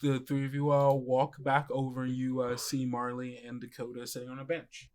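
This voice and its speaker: A man improvising descriptive narration as game master of a tabletop roleplaying game, in a halting way. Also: mid-range voice, monotone, darkish tone.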